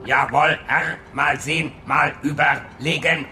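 A man playing a servile, dim-witted tin-box computer in a radio play, halting and stammering. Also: a little high, fairly even intonation, bright, forceful tone, roomy sound, slight background noise, exaggerated voice. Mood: hesitant. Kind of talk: German sci-fi radio drama acting.

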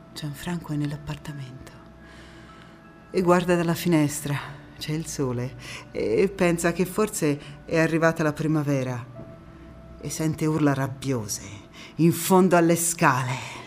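An actress playing a young Italian partisan woman who recalls the war in an intense, deliberate theatrical monologue. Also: sits low, a little mellow, soft background music. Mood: tense, dramatic. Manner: intense, deliberate, with dramatic pauses and repetitions. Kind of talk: dramatic theatrical monologue narration.

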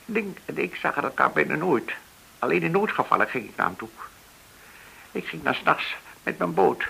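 Tense, stammering cornered banker. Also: male voice, fairly high pitch, sing-song, creaky, pushed and bright, slightly rough, exaggerated voice, poor audio. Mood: halting, anxious. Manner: halting, anxious, stammering. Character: frightened, cornered male banker pleading innocence. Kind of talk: radio drama acting. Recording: hissy old radio play recording.

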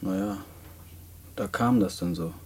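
An actor playing an earnest, hard-up young student in prison in a radio drama, hesitant, polite and naive. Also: male voice, sits low, a little slow, darkish tone, slightly rough. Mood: sheepish. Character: earnest, hard-up nineteen-year-old male student in prison. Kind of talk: post-war German radio play dialogue.

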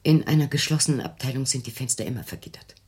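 Anxious protective mother, very deep female voice, highly varied melody, placating, theatrical, literary radio drama. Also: lively intonation, fast talker, dark tone. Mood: weary, reassuring. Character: anxious, protective, coaxing mother of a troubled daughter.

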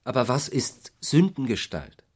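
A husky male voice of middle pitch plays a sceptical, troubled husband in a radio drama. He questions and reproaches uneasily. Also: sing-song, measured pace, a little mellow. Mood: puzzled, weary. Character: Walter, troubled, sceptical husband of an eccentric woman. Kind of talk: literary radio drama acting.

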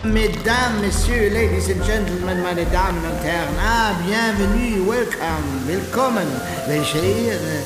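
A man's voice: high voice, a little slow, few pauses. A man playing a flamboyant cabaret master of ceremonies in a radio drama, giving a grandly theatrical multilingual welcome. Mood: grand, welcoming. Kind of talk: radio drama acting as stage announcer. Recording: echoey hall, loud music behind.

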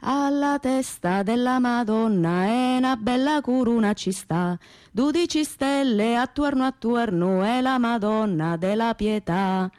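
A woman's voice: a little high, a little slow, darkish tone, dry recording, clean studio sound, close to an everyday voice. An actress playing a plain-spoken, proud young working-class woman with a Calabrian accent, telling her memories in earthy, colloquial radio theatre. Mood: earnest, fervent. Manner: earthy, colloquial, repetitive folk storytelling. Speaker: stage actress performing a monologue. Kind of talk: dramatic first-person storytelling in dialect-tinged Italian radio theatre.

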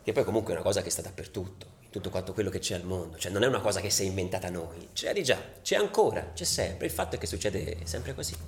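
Low-voiced, passionate male football ultra. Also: expressive. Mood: defiant, reasoning. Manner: halting, colloquial, dropping to near-whispers. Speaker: radio drama actor. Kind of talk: confessional radio theatre monologue.

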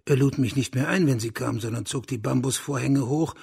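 An actor playing a bitter, proud last heir of a declining family in a radio crime drama, scornful and insinuating, sometimes dropping to a breathy murmur. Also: male voice, medium pitch, a little fast, darkish tone. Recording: music behind the voice.

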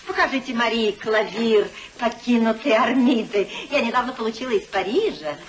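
A woman acts an aristocratic hostess with effusive, grand manners. Her high voice moves in lively arcs. The sound is that of a 1950s radio comedy with an audience audible behind. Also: moderate pace, a crowd in the background, natural voice, low-quality recording. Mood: gracious commanding. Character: gushing aristocratic society hostess, sociable gossip.

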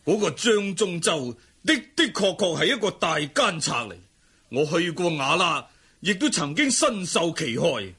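Raspy, forceful martial monk. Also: male voice, a little high, big pitch swings, unhurried, full character voice. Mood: blunt, certain. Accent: Cantonese. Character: bold, forthright senior martial-arts monk. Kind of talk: Cantonese wuxia radio drama acting.

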